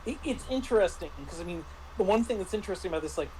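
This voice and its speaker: A man's voice: sits high, lively intonation, very fast, mellow, a little hiss or hum, poor audio. A middle-aged man chatting casually as a game master, stammering and repeating words as he explains things. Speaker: game master reviewing a tabletop RPG. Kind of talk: casual chat.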